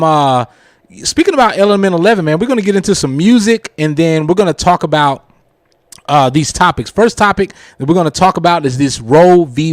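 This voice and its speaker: Animated, higher-voiced man hosting live radio. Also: dry recording, clean studio sound. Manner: informal, slangy, chuckles while talking. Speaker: radio show host.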